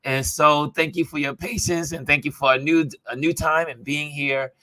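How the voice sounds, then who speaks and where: A man with a bright, forceful voice that has gone a bit hoarse, hosting a casual livestream. He is chatty and greets people as he goes, and the sound is close and dry. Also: fairly high pitch, fairly even intonation, moderate pace, no echo. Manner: chatty, greets viewers by name. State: hoarse, voice going from talking. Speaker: talk show host.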